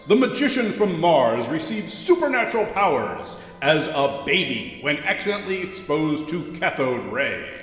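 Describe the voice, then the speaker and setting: Guy narrating a superhero radio drama, really expressive, reverby room, soft music under. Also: a little high. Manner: dramatic, emphatic. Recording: echoey room with long reverb.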